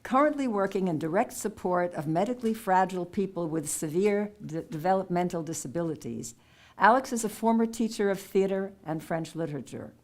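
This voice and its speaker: Woman, slightly low voice, poetry awards host, measured reading, village hall event. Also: darkish tone. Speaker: contest host. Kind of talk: reading bios and poems aloud at a public event.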